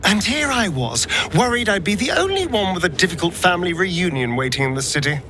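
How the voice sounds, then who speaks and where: An actor playing a wry, theatrical rogue in a video game, with an arch delivery. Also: male voice, high voice, very animated, fairly quick, pushed and bright, a little hiss or hum, exaggerated voice. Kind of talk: dramatic video game acting.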